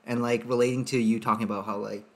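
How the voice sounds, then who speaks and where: A man from Sri Lanka with a slight British-influenced accent, a podcast guest in casual chat who talks in a relaxed, thoughtful way full of "like". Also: medium pitch, fast. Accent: slight British-influenced English.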